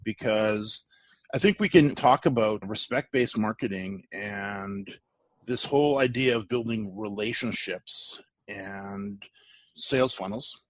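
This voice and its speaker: A middle-aged man hosting a podcast interview, speaking in an enthusiastic, measured way. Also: lowish voice, dry recording. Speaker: podcast host.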